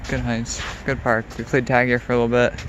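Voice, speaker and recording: A young man, an exchange student, chatting casually in a vlog, rambling and hesitant with many pauses. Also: mid-range voice, highs cut off, a little hiss or hum. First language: English. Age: young adult. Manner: relaxed, rambling, many ums.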